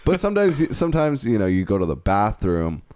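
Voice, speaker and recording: Casting: young man in his twenties, lowish voice, dry close sound, loose rambling podcast talk. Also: very animated, brisk, a little mellow, clear, resonant tone, dry recording. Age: 20s. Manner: rambling, frank, trails off mid-thought. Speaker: podcast co-host. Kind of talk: casual chat.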